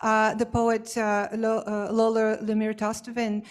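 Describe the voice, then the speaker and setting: A woman's voice: on the high side, completely flat delivery, a little slow. A woman whose first language is Bulgarian, answering a question as a symposium panelist in a hesitant, explanatory way with many fillers. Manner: explanatory and academic.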